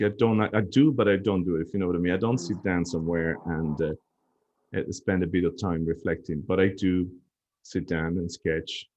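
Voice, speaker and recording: A man with a low voice talks through his life as a podcast guest. He's reflective and easygoing, and the recording sounds a little dull and lo-fi. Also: a little mellow. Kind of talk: casual chat.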